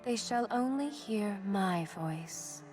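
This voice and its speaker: An actress playing a solemn lamb spirit of death in a video game, speaking in a measured, formal, poetic manner. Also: female voice, medium pitch, lively intonation, a little mellow. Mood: ominous, calm. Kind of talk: video game character voice lines. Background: music.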